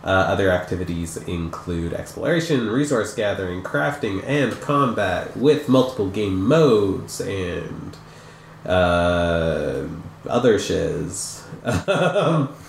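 A man's voice: sits low, expressive, slow pace, creaky, slight background noise. Stuffy-nosed man rambling in an echoey room. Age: 30s. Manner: rambling, jokey, many fillers. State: stuffy from a cold. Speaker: podcast co-host. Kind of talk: casual chat.

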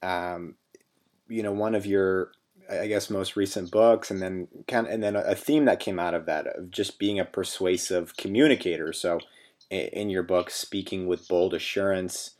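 A man with a low voice hosting an interview. He feels his way into each question, circling back with fillers and restarts. His tone stays soft and conversational. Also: steady tone, moderate pace. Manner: hesitant, many fillers and restarts, soft-spoken. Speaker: interview host.

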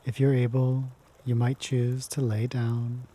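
A man leads a guided meditation in a gentle, unhurried manner, leaving long pauses between phrases. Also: mid-range voice, completely flat delivery. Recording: music behind the voice. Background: prominent sound bath instrumental music.

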